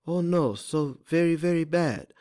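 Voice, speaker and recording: Man voicing a glum young African girl, raised pitch. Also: a little mellow, dry recording, close to an everyday voice. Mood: sorrowful. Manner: halting, simple broken English.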